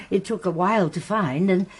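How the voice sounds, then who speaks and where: An actress playing a shrewd, courteous elderly English lady sleuth in a radio drama, speaking in a gentle, measured, genteel way. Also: medium pitch, slightly rough, natural voice. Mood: polite, helpful. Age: older.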